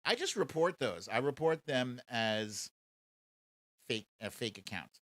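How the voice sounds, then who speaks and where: American male livestream host, colloquial and mocking, lively pitch swings, leisurely pace, dry close sound. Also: a little slow, no room sound. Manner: chatty, colloquial, mocking, laughs easily. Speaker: host of a live commentary show. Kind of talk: casual livestream commentary, reading and answering viewer questions.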